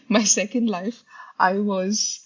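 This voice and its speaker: A woman's voice: medium pitch, husky. A woman from India, co-hosting a podcast in a musing, casual chat and laughing often. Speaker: podcast co-host. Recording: slightly dull audio.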